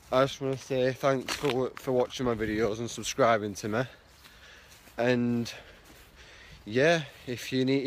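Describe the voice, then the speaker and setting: Stuffy-nosed young man rambling into a phone. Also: mid-range voice. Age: young adult. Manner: rambling, repeats himself with lots of fillers. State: blocked nose, sounds stuffy. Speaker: vlogger. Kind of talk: casual selfie vlog. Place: outdoors on a street walking to work. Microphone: phone at arm's length.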